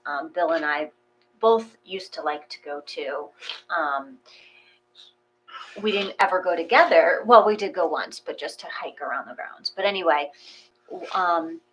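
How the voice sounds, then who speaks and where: Low-pitched woman, reflective, with background noise. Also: expressive, unhurried, low-quality recording. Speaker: host and reader of guided meditation. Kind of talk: guided meditation and reflective chat.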